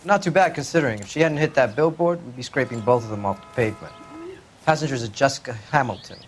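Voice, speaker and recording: An actor playing a plain-spoken 1940s American patrol cop in a video game, reporting in matter-of-fact slang. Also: male voice, medium pitch, expressive, fast, a little hiss or hum, lightly acted. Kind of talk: video game acting, 1940s police drama.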